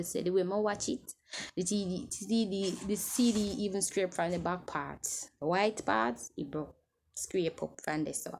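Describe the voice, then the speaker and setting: A woman in her twenties chatting casually to camera as she answers viewer questions, rambling and restarting as she loses her train of thought. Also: medium pitch. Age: 20s.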